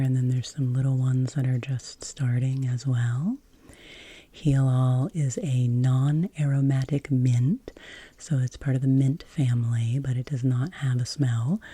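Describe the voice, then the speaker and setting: A very low-pitched woman speaks in a breathy, whispered ASMR style. Her delivery is slow and soothing, with crisp, very bright sibilance. She gently guides the listener through relaxation. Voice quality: breathy, whispery soft-spoken.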